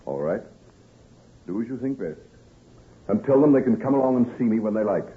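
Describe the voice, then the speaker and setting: A man playing a troubled, weary gentleman doctor in an old-time radio drama, guarded and subdued. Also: mid-range voice, lively intonation, a little fast, phone-quality sound, lightly acted. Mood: uneasy, resigned.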